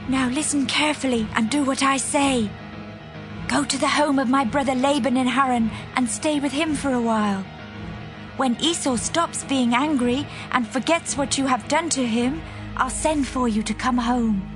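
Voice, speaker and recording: A woman's voice: high voice, normal speed, over music, close to an everyday voice. An actress playing a protective mother, urgent and earnest, in a dramatized scripture story. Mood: urgent, worried. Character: urgent, protective mother instructing her son. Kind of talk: dramatized scripture reading with music.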